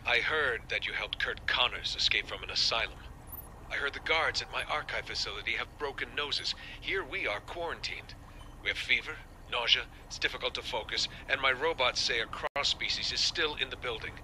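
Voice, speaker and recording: Hard-edged, menacing scientist villain. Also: male voice, medium pitch, sharp tone, a little hiss or hum, rough recording. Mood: accusing. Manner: deliberate, taunting, ominous. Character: cold, menacing scientist villain, smug and fanatical. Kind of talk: video game voice acting.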